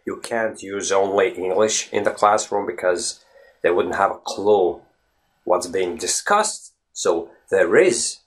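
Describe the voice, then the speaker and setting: Lower-voiced man. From Latvia. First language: Latvian. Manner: emphatic, talks to viewers directly, often asks "right?". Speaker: vlog host. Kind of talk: casual solo vlog talk.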